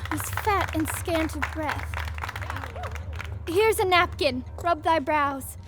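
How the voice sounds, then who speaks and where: A woman's voice: very high-pitched, takes it slowly, a crowd in the background, background noise. A woman acting a gracious, fond queen and mother in a Shakespearean audio drama.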